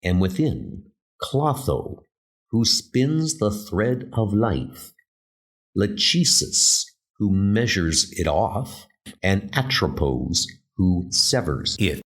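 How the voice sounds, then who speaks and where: A man's voice: deep voice, very animated, slow pace, slightly rough. A man reading dramatic scripted narration for a horror comic.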